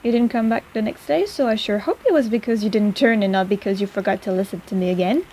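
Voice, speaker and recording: A woman's voice: medium pitch, quick pace, dark tone, slight background noise, lightly acted, poor audio. A woman playing a sarcastic but caring apothecary in an intimate personal-attention audio roleplay, with a playful, chiding manner. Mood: friendly, teasing.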